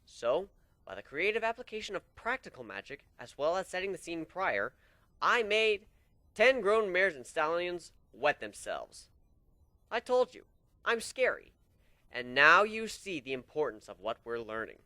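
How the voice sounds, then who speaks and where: A man voicing a smug, unhinged male griffin who lectures his students in a boastful, lively way. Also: fairly high pitch, a little slow, no room sound, voice pushed up, full character voice. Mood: gleeful boasting. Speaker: instructor.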